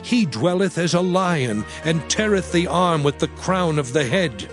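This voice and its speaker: A man playing Moses, a solemn patriarch, in a declamatory, measured dramatized scripture reading. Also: fairly high pitch, expressive, faint music behind. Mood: solemn, exalted.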